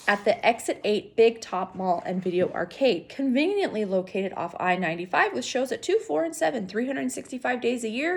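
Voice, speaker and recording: A woman teacher giving an expressive read-aloud of a children's story. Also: mid-range voice.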